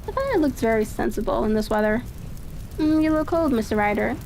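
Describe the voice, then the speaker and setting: A woman's voice: on the high side, expressive, a little fast, vocal fry, darkish tone, slight background noise, low-quality recording. A woman voicing a courteous, confident male detective in a storybook reading, polite and cheery. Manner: bland, politely cheery, self-assured. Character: confident, courteous gentleman detective, gleefully all-knowing.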